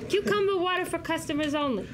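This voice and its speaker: A woman's voice: extremely high pitch, fast talker, noisy background. A woman playing a stern older salon owner, blunt and scolding. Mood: disapproving.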